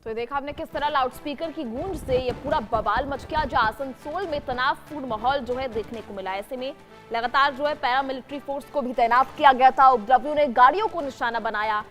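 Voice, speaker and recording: A woman's voice: high voice, a little fast, a bit bright. A woman news anchor narrating a breaking report in an emphatic, formal broadcast delivery. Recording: music bed under the voice.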